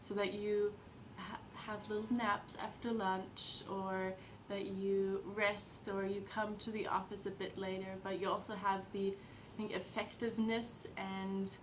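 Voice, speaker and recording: A young woman in her twenties, an intern, talking in a relaxed, chatty testimonial full of fillers. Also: mid-range voice, background noise. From Austria and Germany, grew up in China and Thailand. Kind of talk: casual testimonial.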